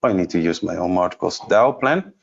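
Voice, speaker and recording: A man giving an unscripted software demonstration as a trainer, explaining step by step and thinking aloud in a halting way. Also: low-pitched, animated, gravelly, lo-fi sound. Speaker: trainer presenting a product course.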